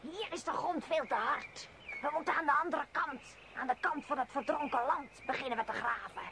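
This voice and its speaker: Commanding, creaky-voiced she-wolf. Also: sits high, fairly quick. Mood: decisive. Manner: terse, emphatic, commanding. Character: female wolf, commanding and shrewd scout leader. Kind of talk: radio play acting. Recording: noisy radio play with outdoor ambience.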